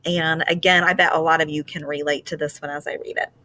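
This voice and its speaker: A woman reading a children's picture book aloud like a teacher, with expressive storytelling and deliberate, drawn-out phrasing. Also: sits low, a little hiss or hum.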